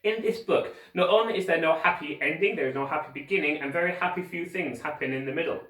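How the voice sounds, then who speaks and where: A man reading a children's story aloud with expressive, emphatic narration and dramatic pauses, like a teacher reading to pupils. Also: fairly high pitch. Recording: echoey room, distant microphone.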